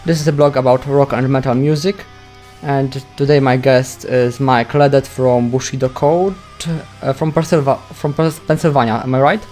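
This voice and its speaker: A man with a Polish accent hosting a metal interview, native Polish, halting and searching for his English words. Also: medium pitch, steady tone, darkish tone, soft background music. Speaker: interview host.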